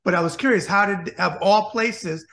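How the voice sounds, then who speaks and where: A man's voice: high voice, normal speed, fairly bright tone. A man hosting an interview, asking rambling questions full of restarts. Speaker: interview host.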